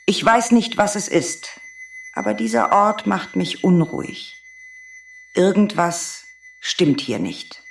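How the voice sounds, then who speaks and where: A man's voice: high voice, animated, slow pace, vocal fry, full character voice. A man playing an uneasy, suspicious space traveller in an audio drama, wary and questioning. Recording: hissy, noisy recording.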